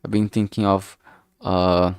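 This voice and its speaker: A man with a slightly low but clean, smooth voice talks his way through a solo work stream. He speaks very slowly, rambling and losing his sentences, with frank, casual swearing. The sound is close and dry. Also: little pitch movement, no echo. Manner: halting, rambling, trailing off mid-sentence. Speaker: solo streamer developing a visual novel.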